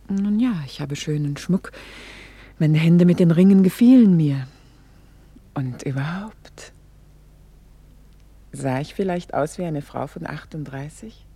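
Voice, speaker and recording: A middle-aged wife in an intimate radio play, soft, dark and husky in a dry, close recording. She is reflective and a little vain, confiding her self-reproach with hesitant pauses that sink toward a whisper. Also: low-pitched, no echo, heavily acted. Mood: wistful, reflective.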